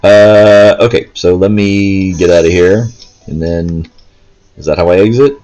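Male gameplay host: lowish voice, informal, unscripted, meandering and hesitant. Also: a little slow, mellow, soft background music. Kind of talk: casual gameplay commentary. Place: indoors, in a room while playing a handheld console.

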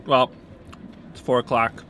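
A man's voice: a little slow, loud music behind the voice. A man in his thirties casually narrating a family vlog outdoors, chatting with his kids. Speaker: family vlogger. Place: outdoors by a cabin and river. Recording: outdoor camera audio with clear background noise.

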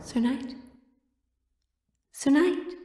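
A woman's voice: high-pitched, drawn-out words, background noise. A woman playing a sheltered, pleading young royal in a romantic audio drama, with hesitant, formal old-fashioned phrasing. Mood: anguished, tender.

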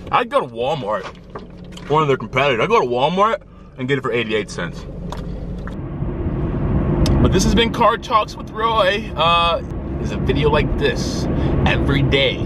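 A man in his twenties vlogging from his car on a dashboard camera, joking and swearing in casual banter. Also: medium pitch. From Chicago area. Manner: joking, profane, mock-advertising banter. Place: parked car, indoors. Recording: clear road and engine noise. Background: car and street noise.

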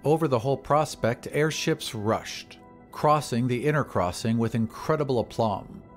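A man's voice: medium pitch, normal speed, soft background music. A man reading scripted narration for an audio drama. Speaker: podcast narrator.

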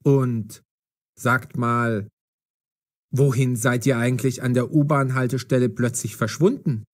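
An actor playing a police detective in an audio drama, commanding and mocking but fair. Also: male voice, mid-range voice, fairly even intonation, measured pace, natural voice. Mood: matter-of-fact. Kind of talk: audio drama for language learners.

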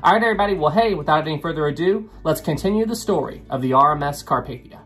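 A young man in his twenties, his voice a little rough from recovering from a cold, telling a history story to camera from a small home room. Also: on the high side, animated, fast talker, a bit bright, soft background music. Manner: earnest, repeats names often. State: slightly rough voice from recovering illness. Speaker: history channel host. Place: small home room with wood paneling and ship models.

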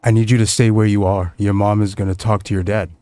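A man's voice: on the low side, little pitch movement, fast talker, dark tone. A man streaming a game, reading a police dispatcher's lines aloud.

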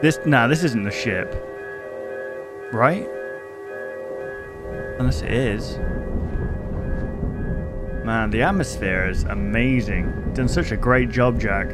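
A man's voice: medium pitch, slow pace, long pauses, loud music behind the voice. A man in his twenties giving casual reaction commentary as a YouTube host, close to a desk microphone in a bedroom. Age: 20s. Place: bedroom, indoors.

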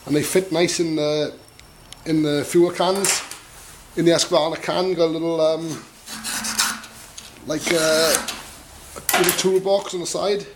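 A man's voice: on the high side, fairly even intonation, a little slow, pauses often. A man giving a casual hands-on demonstration in a workshop, speaking informally in short asides as he works. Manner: informal, clipped asides while working. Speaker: tutorial presenter. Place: workshop, at a bench vice. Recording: clear background noise, filing and clicking. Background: metal filing rasp and clicks.

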